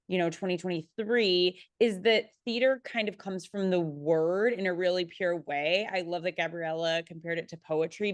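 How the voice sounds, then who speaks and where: A young woman speaking as a panelist in a conversational, animated and self-deprecating way. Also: medium pitch, no echo. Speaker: panelist, writer.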